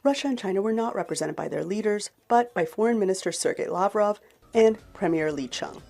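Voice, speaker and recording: A woman correspondent delivering a measured news report. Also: medium pitch, lively intonation, creaky, warm tone.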